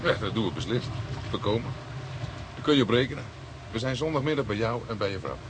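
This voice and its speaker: An actor playing a young police detective in a radio play, an earnest, touchy and sceptical sidekick. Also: male voice, medium pitch, expressive, quick pace, close to an everyday voice. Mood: eager. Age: young adult. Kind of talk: naturalistic radio play acting. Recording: radio play with clear background noise.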